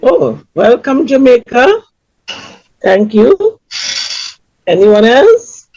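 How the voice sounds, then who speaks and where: A woman with a Trinidadian accent hosting a community webinar over a video call, welcoming people in a lively announcer tone. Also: a little high, drawn-out words, no echo. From Trinidad and Tobago. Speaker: webinar host. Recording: dull, lo-fi webinar audio.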